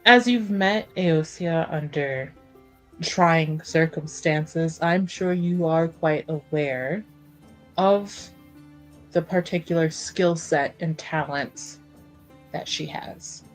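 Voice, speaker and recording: A woman's voice: deep voice, takes it slowly, faint music behind, rough recording. A woman playing a composed, devoted noblewoman in a fantasy tabletop game, speaking formally and carefully. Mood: composed, earnest.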